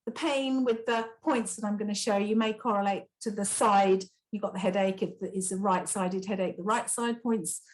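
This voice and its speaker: A woman's voice: medium pitch, fairly even intonation, normal speed, few pauses, low-quality recording. A middle-aged woman with a chatty, encouraging manner, teaching self-care exercises as she demonstrates them. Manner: chatty, encouraging, many fillers like "you know". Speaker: kinesiology teacher. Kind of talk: instructional talk with demonstrations.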